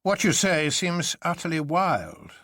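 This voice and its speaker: A man playing an excitable, astonished gentleman detective in a story, speaking in emphatic exclamations. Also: on the high side, a little slow, no echo, studio quality, pitched above the performer's own voice. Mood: astonished, agitated.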